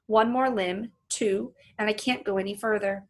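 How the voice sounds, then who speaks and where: A woman reading a children's book aloud in an animated, storytelling manner. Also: medium pitch, moderate pace. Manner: animated, acts out the dialogue. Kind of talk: expressive read-aloud narration with asides to listeners.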